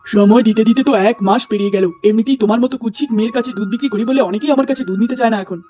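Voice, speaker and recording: A man's voice: high-pitched, racing, dark tone, faint music behind, close to an everyday voice. A man voicing an impatient, scornful village milk seller in a cartoon, curt and grumbling. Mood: annoyed.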